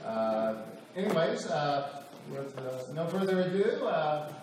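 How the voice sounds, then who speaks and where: A man's voice: fairly high pitch, big pitch swings, slow. A man leading a church Bible class, introducing a guest speaker with frequent hesitations. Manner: hesitant, full of uh. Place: church hall. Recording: echoey hall from far off with room noise.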